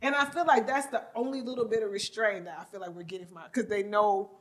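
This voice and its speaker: A woman co-hosting a casual chat, animated and often laughing as she acts out quotes. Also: medium pitch, fast talker. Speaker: podcast co-host.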